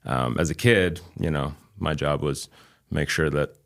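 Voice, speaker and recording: A man in his thirties, a native Albanian speaker from Kosovo with a light New England accent, talking as a guest in a measured, reflective way with frequent pauses. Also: rumbling low, gravelly, a bit bright. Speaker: guest chiropractor. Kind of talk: casual interview chat.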